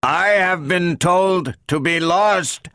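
A rogue robot from an audiobook, acted by a man, proud and coming apart. The voice is harsh and lifted well above a normal male register, breaking into halting, menacing spurts. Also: high-pitched, sharp tone, clean tone, dry recording, clean studio sound, pitched above the performer's own voice, heavily acted. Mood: strained, defiant. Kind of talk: dramatic audiobook character reading.

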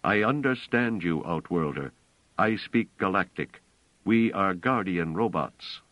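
A man voicing a cold, logical male guardian robot in a storybook reading, speaking in a measured, incisive way. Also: sits low, lively intonation, a bit bright, dry recording, rough recording.

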